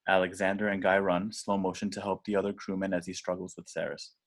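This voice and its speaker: A man reading screenplay action directions aloud in a deliberate, dramatic way. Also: sits low, level tone. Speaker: stage directions reader.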